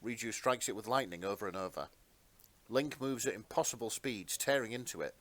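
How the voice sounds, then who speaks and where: A man's voice: on the low side, brisk, a bit bright, no room sound. A man narrating a piece of fan fiction as a dramatic story reading.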